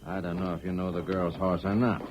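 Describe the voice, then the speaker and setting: Western radio villain male actor: gang boss, deep and gruff, menacing, folksy speech, dulled vintage sound. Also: level tone, fairly quick, lightly acted. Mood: threatening. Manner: drawling, folksy outlaw speech with taunting threats. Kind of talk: old-time radio western acting. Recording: muffled, noisy old radio recording.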